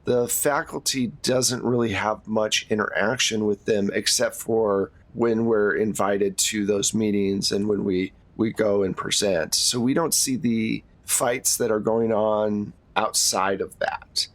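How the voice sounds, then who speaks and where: A man's voice: fairly low pitch, steady tone, unhurried, hardly any pauses, no room sound. A man playing a reserved, weary middle-aged school administrator in a tabletop game, choosing his words carefully and hesitantly. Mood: confiding.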